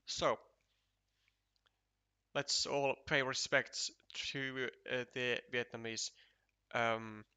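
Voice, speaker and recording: A man with a Finnish accent giving casual, unhurried commentary on a board game match for a live stream, chatty and full of fillers. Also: mid-range voice, steady tone, sharp tone, clear, resonant tone.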